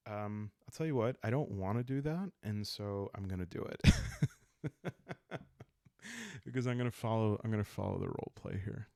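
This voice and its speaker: Low, gravelly man, calmly narrating. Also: dry recording, studio quality. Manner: soft-spoken, calm and unhurried, thinking aloud. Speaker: host playing a solo journaling roleplay game. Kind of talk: calm, improvised storytelling game playthrough for sleep listening.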